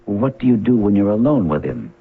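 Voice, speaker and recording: An actor playing an earnest, probing, worried family friend in a 1970s radio drama. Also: male voice, lowish voice, very animated, a little fast, creaky, slightly rough, exaggerated voice. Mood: gently probing. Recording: tinny, noisy old radio recording with hum.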